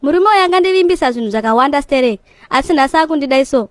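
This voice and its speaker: Woman playing a high-voiced, feisty Zimbabwean wife. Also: expressive, rapid-fire, no echo. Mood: indignant. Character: feisty, quarrelsome wife of a male patient. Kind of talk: animated comedy dialogue.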